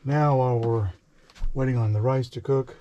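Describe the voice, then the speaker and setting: A man in his forties giving a casual, halting, step-by-step cooking demonstration in a small indoor space. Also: medium pitch, steady tone, dull audio. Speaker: vlogger, home cook. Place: small boat galley, indoors. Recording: clear background noise, clicks.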